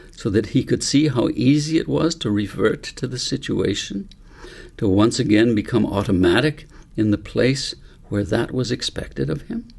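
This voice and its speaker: A man narrating a story audiobook in a measured, reflective way, as an author reading his own book aloud. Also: mid-range voice, creaky, husky.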